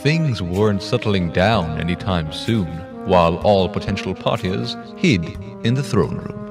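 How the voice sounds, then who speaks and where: A man's voice: deep voice, lively intonation, unhurried, gravelly, music in the background, heavily acted. A man giving scripted story narration for an audio drama.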